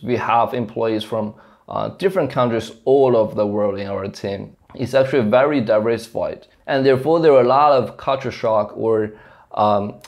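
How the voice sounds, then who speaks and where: A man's voice: medium pitch, a little mellow. A young man from China with a Mandarin Chinese accent, speaking English as a second language, explaining his work to camera in an earnest way with many fillers and restarts. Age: young adult. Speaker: software engineer vlogger. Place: small plain indoor room. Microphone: lapel mic, camera in front.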